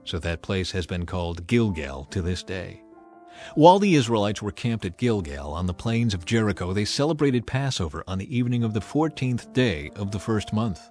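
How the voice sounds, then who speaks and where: A man's voice: lowish voice, sing-song, normal speed, darkish tone. Man narrating solemn scripture over music. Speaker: narrator of a dramatized audio Bible. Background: background music.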